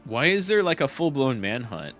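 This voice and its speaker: A man's voice: medium pitch, sing-song, moderate pace, fairly bright tone, soft background music. A man with a stuffy nose giving casual, chatty commentary while playing a game, swearing and incredulous.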